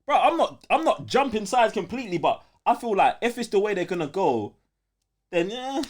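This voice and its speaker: A man's voice: sits high, moderate pace. A man with a London accent hosting a live podcast in casual, slangy banter. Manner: slangy, animated. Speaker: podcast host.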